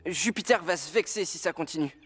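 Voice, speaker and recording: A man voicing a soft-hearted, clumsy young firefighter in a French cartoon dub, hesitant and apologetic. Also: on the high side, fairly quick, breathy edge. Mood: worried, protective.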